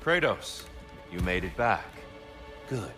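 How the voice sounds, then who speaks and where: An actor playing a calm, wise warrior god and mentor in a video game, speaking in a measured, formal, probing way. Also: male voice, deep voice, exaggerated voice. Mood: challenging. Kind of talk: dramatic video game acting. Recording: echoing space with music behind.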